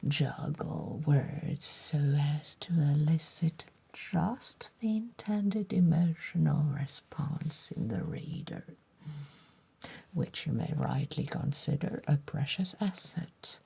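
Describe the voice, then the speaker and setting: A woman playing a gentle, courteous bookshop letter-writer in an ASMR roleplay, whispering breathily with slow, formal, deliberate phrasing. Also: sits low, expressive, creaky, breathy edge, heavily acted. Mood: quietly proud.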